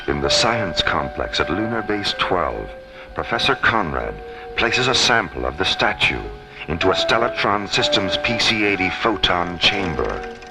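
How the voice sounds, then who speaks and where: A man playing the measured, dramatic narrator of a science fiction radio serial. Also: deep voice, sing-song, full character voice, low-quality recording. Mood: suspenseful. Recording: loud score behind voice. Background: music.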